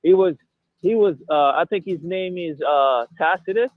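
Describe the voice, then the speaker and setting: A man's voice: high-pitched, normal speed, dry recording. A man from Haiti calling in, talking hesitantly with many stammering restarts. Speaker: caller. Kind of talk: casual debate. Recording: tinny phone line.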